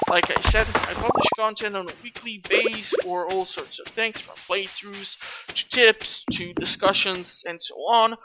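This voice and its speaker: A video creator of unclear gender gives casual, rambling let's play commentary, as if thinking out loud. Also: medium pitch, very noisy. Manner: rambling, talking to self. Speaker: gaming video creator, host. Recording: loud game music behind voice. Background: video game music.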